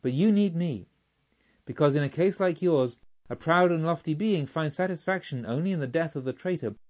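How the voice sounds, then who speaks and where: A man voicing a dignified, menacing yet remorseful robber chief in an audiobook, speaking in a measured, formal way. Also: a little high, darkish tone, highs cut off, natural voice. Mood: persuasive. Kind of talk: audiobook storytelling.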